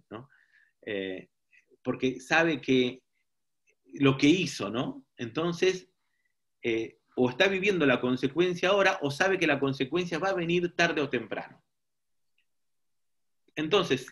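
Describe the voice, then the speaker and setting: A man's voice: medium pitch, fairly bright tone. A man giving an informal teaching talk to a group, reflective and often checking in with rhetorical questions. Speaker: seminar teacher.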